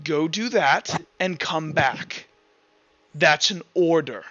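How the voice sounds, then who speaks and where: A man's voice: on the high side, animated, takes it slowly, pushed and bright, dull audio, full character voice. A man voicing a menacing, cold masked master who gives clipped orders in a tabletop game. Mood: commanding.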